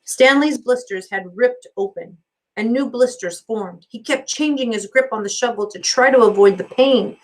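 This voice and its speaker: A woman's voice: a little high, normal speed, poor audio. Woman reading a story aloud expressively. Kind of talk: scripted storybook narration, expressive.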